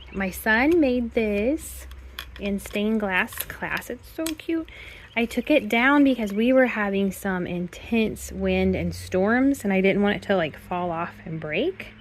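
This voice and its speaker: Woman, middle-aged, raspy hoarse voice, casual rambling chat, walking home tour, camera mic. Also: medium pitch, a bit bright, a little hiss or hum. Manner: chatty, rambling, frequent ums. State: hoarse from a cold. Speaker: home vlogger. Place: house and screened-in porch.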